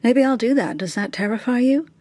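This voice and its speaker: A ruthless middle-aged mother acted by a woman in a radio drama. Her voice sits somewhat high and is recorded very dry. She drives at her listener with clipped, scornful questions, scheming to protect her son at any cost. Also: fairly quick, dark tone, no room sound, natural voice. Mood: quietly cutting.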